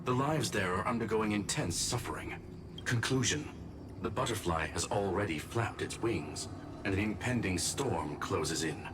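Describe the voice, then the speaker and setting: A man playing a courteous, grave, analytical robot scholar in a video game story, speaking in formal, measured statements. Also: lowish voice, expressive, noisy background, close to an everyday voice. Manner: formal, clipped announcements, measured. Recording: loud music behind the voice.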